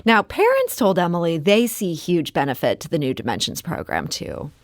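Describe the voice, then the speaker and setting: Low-voiced woman radio host. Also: wildly swooping pitch, normal speed, no room sound. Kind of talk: scripted radio introduction. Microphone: studio microphone.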